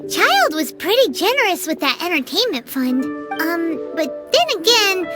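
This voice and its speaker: A woman voicing a tiny, cheeky fairy companion in a video game, squeaky and childlike, chirpy and exclamatory. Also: very animated, measured pace, exaggerated voice. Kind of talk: video game character acting. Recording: music behind the voice. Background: game music.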